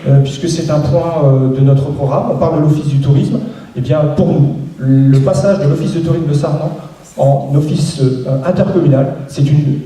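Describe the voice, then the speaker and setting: A man with a dark, mellow voice, pitched a little high for a man, gives a local campaign speech. He halts and restarts his phrases often. He is heard from a distance in a very echoey room. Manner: hesitant, many restarts and fillers. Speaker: local election candidate.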